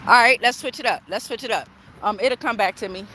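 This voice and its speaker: Bright-voiced woman livestreaming outdoors. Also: fairly high pitch, fairly quick, low-quality recording. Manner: emphatic, checks in often with tag questions. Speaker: tarot reader and spiritual streamer. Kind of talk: casual spiritual livestream chat. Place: outdoors on a walk near traffic. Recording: outdoor street noise, passing cars. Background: passing cars, urban outdoor ambience.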